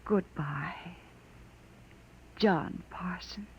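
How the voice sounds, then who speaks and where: An actress playing a gentle artificial woman discovering feeling in a 1950s radio drama, soft-spoken and wistful. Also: fairly low pitch, extremely slow, very noisy. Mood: longing, wonder. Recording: muffled vintage 1950s radio recording.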